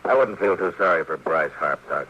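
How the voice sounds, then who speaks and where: An American man acting a steady, commanding, dry-humored lawman in a terse 1950s Western radio drama style, on a muffled old-time recording. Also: deep voice, brisk, husky. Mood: dry, matter-of-fact.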